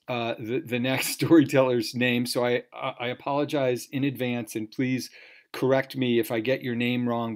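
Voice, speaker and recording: A man hosting a live event casually over a video call, with a self-deprecating manner. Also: mid-range voice. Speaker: MC of a virtual story slam.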